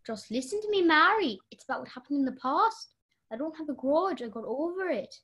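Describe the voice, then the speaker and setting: A woman acting a snobbish, high-strung married lady in a mystery radio play, emotional and imperious. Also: expressive, brisk, a bit bright. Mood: pleading.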